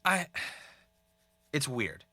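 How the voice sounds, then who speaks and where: A man's voice: mid-range voice, sing-song, drawn-out words, bright, forceful tone, breathy edge, no room sound, heavily acted. A man playing an earnest, doubtful podcast host in a scripted audio drama. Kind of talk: fictional podcast narration and conversation.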